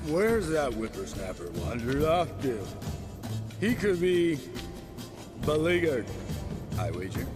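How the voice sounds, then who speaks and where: A man's voice: on the high side, very animated, takes it slowly, pauses often, music in the background. An actor playing a pompous, archaic, mock-heroic old man in a video game.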